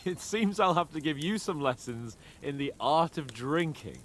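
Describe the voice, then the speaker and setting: A man's voice: a little high, expressive, normal speed, a little hiss or hum, lightly acted. An actor playing a cocky, teasing young nobleman in a game cutscene, joking and boastful with his friend. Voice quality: playful, mocking.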